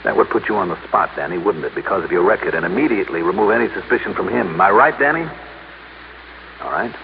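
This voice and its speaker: An actor playing a shrewd, cool American investigator, measured and probing, in an old-time radio drama. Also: male voice, deep voice, animated, gravelly. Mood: accusing. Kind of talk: old-time radio drama acting and first-person narration. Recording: muffled, noisy old radio recording.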